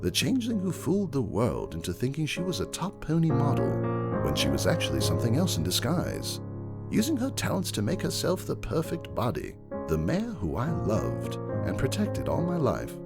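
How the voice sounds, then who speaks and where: A man's voice: medium pitch, huge pitch swings, normal speed, creaky, loud music behind the voice. A man reading a first-person story aloud as a dramatic, scripted narration. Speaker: audiobook-style reader.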